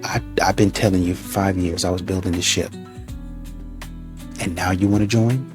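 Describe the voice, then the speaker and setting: A man hosting a reaction channel, delivering mocking, animated commentary and acting out quotes. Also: sits low, normal speed, over music. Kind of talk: ranting commentary.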